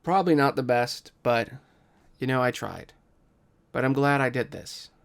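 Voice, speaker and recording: A man hosts a storytelling podcast and reads his script as narrator. His voice has an average male pitch. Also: normal speed, vocal fry, fairly bright tone, clear, resonant tone. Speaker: podcast host and writer, narrator.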